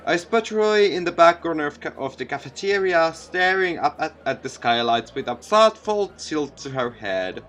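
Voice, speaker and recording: A man's voice: on the high side, lively intonation, moderate pace, hardly any pauses, fairly bright tone. A man reading a visual novel aloud as a let's play, sometimes stumbling over lines and correcting himself. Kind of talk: let's play read-aloud narration with asides.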